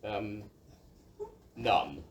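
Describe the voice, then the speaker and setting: A woman's voice: rumbling low, expressive, extremely slow, full character voice. A woman playing a flustered, deferential village spokesperson in a children's audio play, formal and hesitant. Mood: sheepish. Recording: distant microphone, background noise.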